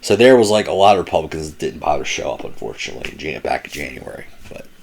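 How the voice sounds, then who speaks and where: A man giving opinionated, casual political commentary, slightly hoarse, often restarting his sentences. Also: rumbling low, racing, a little hiss or hum. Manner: animated, opinionated, with frequent restarts.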